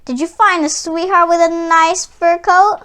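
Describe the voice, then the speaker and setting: A woman's voice: very high voice, steady tone, unhurried, a bit bright, pitched above the performer's own voice. A woman acting a boastful older brother in a storybook, a young man with a teasing, mocking way of talking.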